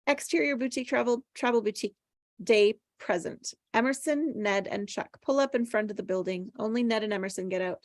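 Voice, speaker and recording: A woman's voice: on the high side, brisk, no room sound, studio quality. A woman reading stage directions aloud from a screenplay at a table read.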